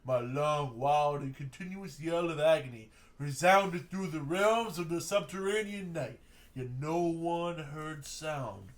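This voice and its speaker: A man plays a drunk young poet holding forth to a tavern crowd, his slightly high voice swinging from hushed brooding to loud cries. The delivery is theatrical and declaiming. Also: animated, a little slow. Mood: dramatic. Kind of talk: amateur script read-through.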